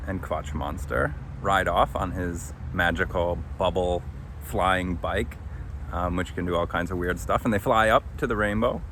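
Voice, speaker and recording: A man casually recapping as a podcast host and author, halting and hesitant, speaking outdoors. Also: deep voice, steady tone, fairly bright tone. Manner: hesitant, halting with long pauses. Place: outdoors under a tree. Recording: outdoor ambient noise.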